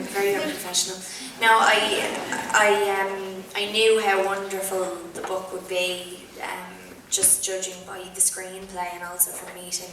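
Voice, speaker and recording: A young woman with a strong Irish accent, answering questions at a theatre panel in a chatty, thoughtful way with many ums. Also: mid-range voice. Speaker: actor on a festival panel. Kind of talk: post-screening Q&A answers. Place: large theatre. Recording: echoey theatre from far off, noisy.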